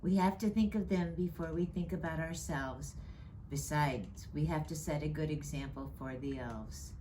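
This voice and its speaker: A woman voicing a kindly, fatherly old man in a children's storybook, speaking gently and earnestly over background noise. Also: sits low, moderate pace, natural voice. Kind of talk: children's storybook character dialogue.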